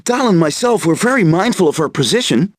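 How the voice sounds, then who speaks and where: A man's voice: high-pitched, big pitch swings, brisk, no room sound, studio quality. An actor playing an amiable, enthusiastic male scientist in a video game, articulate and courteous. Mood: formal. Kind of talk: video game cutscene acting.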